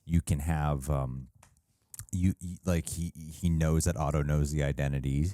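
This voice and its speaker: A man with a low-pitched voice co-hosts a casual reaction show. He rambles through his thoughts in a self-deprecating, loosely profane way. The recording is dry and close, with very little room sound. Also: steady tone, unhurried, mellow, no room sound. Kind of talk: casual reaction commentary and discussion.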